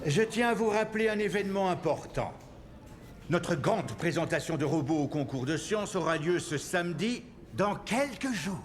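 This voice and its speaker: A man's voice: high voice, lively intonation, moderate pace. A man playing a stiff, smarmy, mean schoolteacher in a film scene. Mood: formal.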